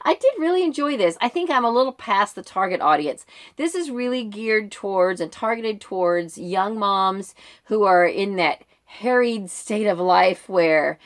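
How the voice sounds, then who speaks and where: A woman's voice: mid-range voice, animated, measured pace. A middle-aged American woman giving a casual, conversational reading update to viewers in an informal, rambling way. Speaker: book vlogger and book club member.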